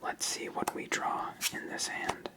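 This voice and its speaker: A person whispering gentle step-by-step ASMR commentary while playing a solitaire card game as host. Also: a little slow.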